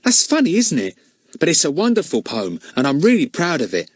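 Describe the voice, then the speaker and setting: A man's voice: a little high, huge pitch swings, fairly quick, husky, no room sound, pitched above the performer's own voice, exaggerated voice. A man voicing a simple, earnest boy who is hopeful and proud, in a storybook reading.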